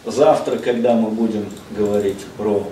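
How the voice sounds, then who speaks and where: A middle-aged man speaks from a table in a seminar room, his lowish voice steady and unhurried. He pauses often on drawn-out hesitations and drops in English phrases. He is picked up from across an echoey room, with a faint hiss underneath. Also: recorded from a distance. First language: Russian. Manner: measured, frequent "э" hesitations, mixes in English phrases. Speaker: visiting lecturer. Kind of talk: seminar lecture. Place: seminar room, seated at table before a projected slide. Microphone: distant room mic, speaker seated across the room.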